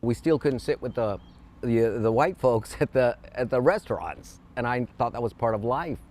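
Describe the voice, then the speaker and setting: An older man in a candid interview, speaking in a halting, reflective way with repeated words. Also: mid-range voice, a little mellow.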